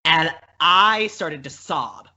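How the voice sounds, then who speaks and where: A man chatting casually as a podcast guest, telling stories in a rambling, animated way and often interrupting himself. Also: fairly high pitch, slow. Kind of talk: casual chat, storytelling. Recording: dull lo-fi podcast audio.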